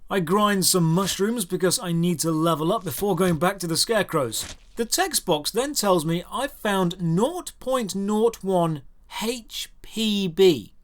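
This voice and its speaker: A man narrating a scripted video game review as its host, with a dry, sardonic and deliberate way of putting things. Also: high-pitched, expressive.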